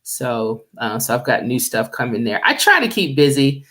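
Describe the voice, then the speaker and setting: A middle-aged woman singer with a deep, low voice, chatting easily as a radio guest and laughing often. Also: big pitch swings, moderate pace, fairly bright tone. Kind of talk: casual radio interview chat.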